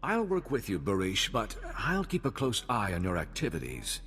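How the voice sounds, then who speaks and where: Earnest, formal diplomat for game cutscene. Medium pitch, very expressive intonation, conscientious temper. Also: male voice, moderate pace, big-room sound, exaggerated voice. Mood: troubled, grateful. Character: earnest, principled male Republic diplomat, measured and troubled. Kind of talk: video game cutscene acting.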